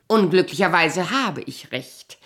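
Proud, bitter wife, swinging animated voice. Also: female voice, fairly low pitch, measured pace. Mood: bitter, reflective. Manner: sharp-tongued, imperious, sardonic. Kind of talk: theatrical German radio drama acting.

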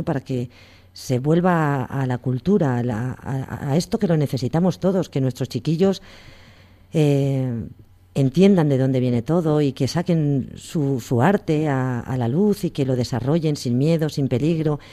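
Give me a man hosting a relaxed radio talk, in a mellow voice. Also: a little high. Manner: warm, rambling, many restarts. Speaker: radio host. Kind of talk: casual radio chat.

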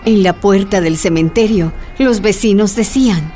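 A woman's voice: mid-range voice, moderate pace, over music. A woman narrating a scripted radio drama.

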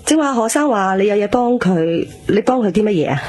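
A woman's voice: medium pitch, animated, a little fast, darkish tone, lightly acted. Woman playing a middle-aged mother, anxious, nagging, Cantonese, radio drama, faint music. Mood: anxious scolding. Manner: worried, scolding, nagging.

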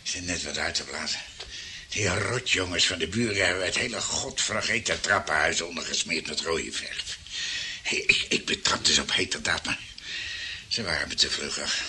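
A man's voice: rumbling low, sharp tone, slight background noise, heavily acted. An actor playing a worn-out, ageing male detective in a Dutch radio drama, grumbling and resigned.

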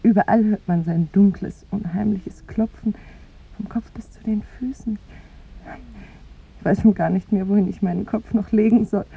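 A woman's voice: mid-range voice, fairly even intonation, normal speed, vocal fry, slightly rough. An actress playing a tender, lovestruck young woman in a 1950s German radio play, with wistful, lyrical phrasing that often sinks to a whisper. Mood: fretful, tender. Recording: muffled, phone-like 1950s radio recording.

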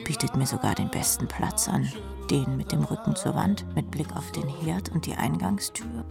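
A woman's voice: deep voice, very animated, normal speed, vocal fry, music in the background, heavily acted. A woman playing a jaded, sardonic rock drummer who narrates her own life drily in a radio play. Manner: dry, sardonic. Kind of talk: scripted first-person radio drama narration.

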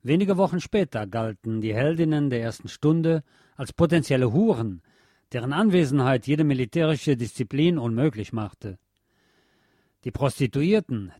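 A man narrates a scripted documentary feature in a measured, factual way. His voice is soft-edged and mellow at a middle pitch, captured very dry with no sense of a room. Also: dry recording. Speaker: radio presenter reading a historical feature.

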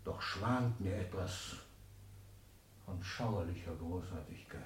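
An actor playing an ailing, scheming old industrialist patriarch in a 1960s German radio drama, commanding and emphatic. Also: male voice, deep voice, takes it slowly, background noise. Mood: menacing, grandiose. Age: older. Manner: commanding, emphatic, lecturing, swinging from muttering to outbursts.

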